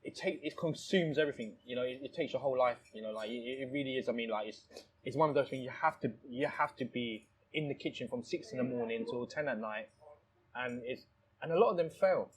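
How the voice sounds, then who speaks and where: A man's voice: medium pitch, fast talker, a little hiss or hum, rough recording. A man telling stories casually in conversation, rambling and repeating phrases, with frequent "you know". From born in Thailand, lives in London. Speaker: restaurant owner, interview guest.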